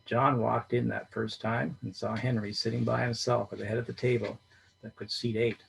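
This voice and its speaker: A man reading a short story aloud in a steady storytelling manner. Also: medium pitch, normal speed, creaky, breathy edge, rough recording. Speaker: reader.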